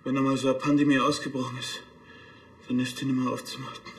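A man's voice: mid-range voice, little pitch movement, fast talker, natural voice. An actor playing an anxious, meek policeman and hypochondriac, plaintive and earnest. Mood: gloomy, worried.

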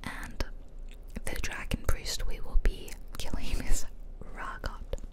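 A woman giving playful, chatty ASMR gameplay commentary in a whisper, close to the microphone. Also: takes it slowly. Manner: playful, chatty, self-amused. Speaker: game streamer and ASMR host. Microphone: close ear-to-ear microphone.

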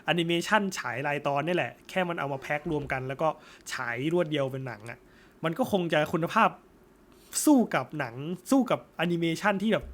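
A young man chatting casually about a film, thoughtful and often pausing to search for words. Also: a little high, animated, fairly bright tone. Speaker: co-host.

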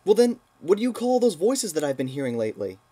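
A man's voice: on the high side, sing-song, quick pace, heavy background noise. A man voicing a cocky, dim young mouse warrior in a sarcastic cartoon parody dub.

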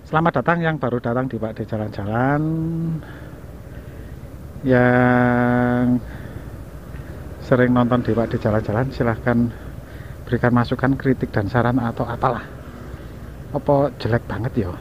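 A man with an East Javanese accent, talking slowly and easily while he rides, chatty and quick to poke fun at himself. His voice comes through dull and muffled on a bike-mounted camera. Loud traffic rumbles behind him. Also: lively intonation. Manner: chatty, joking, laughs at himself. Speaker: motovlogger host. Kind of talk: casual riding vlog narration. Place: riding a motorbike on city streets, then a cafe.

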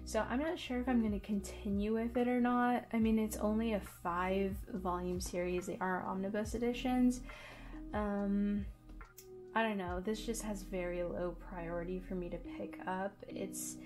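Casting: young woman book vlogger, relaxed chatty delivery, soft music underneath. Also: medium pitch. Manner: chatty, with many ums and likes. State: voice going hoarse. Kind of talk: casual monthly reading wrap-up. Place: home, by a bookshelf.

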